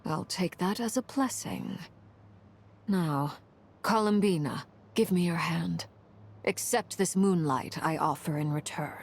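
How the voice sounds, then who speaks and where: A woman playing a cool, commanding noblewoman in a video game, composed and measured, with a dry, understated manner. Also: lowish voice, breathy edge. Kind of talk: dramatic video game voice acting.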